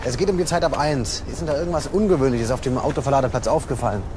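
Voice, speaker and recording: An actor playing an easygoing, teasing police detective in a German crime radio drama. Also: male voice, mid-range voice, racing, noisy background, lightly acted. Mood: businesslike.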